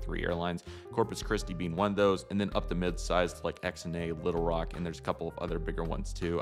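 Low-voiced American man over guitar music. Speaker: hobby channel host. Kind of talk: casual scripted-outline vlog voice-over. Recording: music behind the voice.

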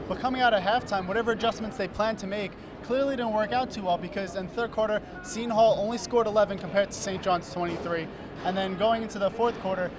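A man's voice: sits high, background noise. A young man co-hosting a postgame basketball recap courtside, quoting stats and asking follow-up questions into a handheld mic. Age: young adult. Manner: stat-heavy, asks follow-up questions. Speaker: student sports co-host. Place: courtside in a large indoor arena.

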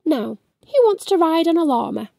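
A woman voicing a caring, welcoming farm aunt in a storybook, gentle and kindly. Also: very high voice, big pitch swings, moderate pace, vocal fry, no echo, clean studio sound, pitched above the performer's own voice, full character voice. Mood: pleased.

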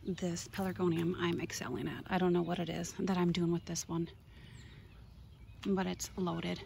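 A woman's voice: on the low side, level tone, moderate pace, creaky, darkish tone, slight background noise. Chatty woman touring her garden outdoors. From Minnesota. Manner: enthusiastic, chatty, pointing things out. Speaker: gardening vlogger. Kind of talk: casual garden tour.

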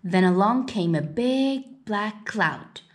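A woman reading a children's story aloud in an expressive, deliberate way. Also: medium pitch. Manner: unhurried, deliberate read-aloud delivery. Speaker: storybook reader.

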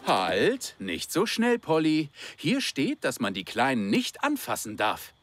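Excitable nerdy cartoon dad. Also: male voice, medium pitch, unhurried. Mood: earnest. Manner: excitable, earnest, explanatory. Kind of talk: dubbed children's cartoon acting. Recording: music behind the voice.

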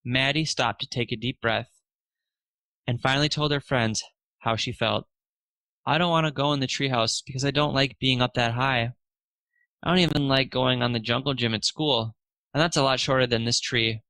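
A man's voice: mid-range voice, fairly even intonation, moderate pace, bright, forceful tone, no echo. A man narrating a children's storybook aloud, expressively lifting his voice for the dialogue.

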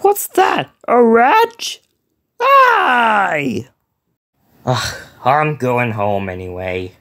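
A man performs a cranky, easily exasperated cartoon creature. The voice is gravelly and crackles with fry. It moves slowly, stretching words, then leaps around in pitch as mutters turn into outbursts. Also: sing-song, bright, forceful tone, no room sound, studio quality, exaggerated voice. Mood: disgusted. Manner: drawn-out, exclamatory, swinging from mutters to outbursts. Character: grumpy, easily exasperated male cartoon creature, Mr Red Head. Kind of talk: solo cartoon character voicelines.

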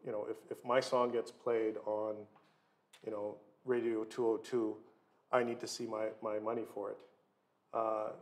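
A middle-aged man giving an informal lecture and introducing speakers in a halting, conversational way from a desk in a hall. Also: fairly low pitch. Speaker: guest lecturer, record label co-owner. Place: wood-panelled lecture hall, seated at desk.

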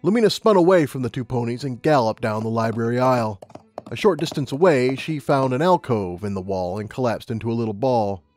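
A man's voice: mid-range voice, lively intonation, fairly quick, a little mellow, faint music behind. A man reading scripted fiction as an audiobook narrator.

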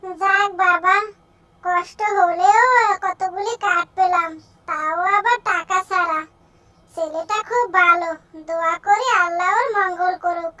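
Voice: extremely high pitch, unhurried, sharp tone, a little hiss or hum, exaggerated voice. A performer voicing a kindly, plaintive old village woman in a children's cartoon story. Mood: grateful. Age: older.

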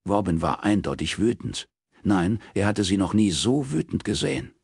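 Man, audiobook narrator, crime fiction, gravelly fry, dry close sound. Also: on the low side, lively intonation, moderate pace, a little mellow, no echo. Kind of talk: scripted narration of a crime novel.

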